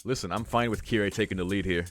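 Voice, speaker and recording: A man's voice: lowish voice, fast. A man hosting a let's play, reading a visual novel aloud with casual, sweary asides. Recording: music behind the voice. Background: game music.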